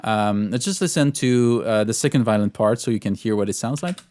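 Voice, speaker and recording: A man giving an informal music tutorial as a composer, speaking in halting, repeated phrases. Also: fairly low pitch, a little mellow. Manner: sings out rhythms in syllables. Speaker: composer teaching orchestration.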